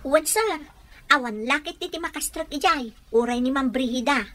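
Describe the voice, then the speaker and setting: A woman's voice: sits high, moderate pace, a bit bright. A woman with a Filipino accent playing an anxious, respectful household helper in a radio drama, deferential and pleading. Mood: deferential, curious.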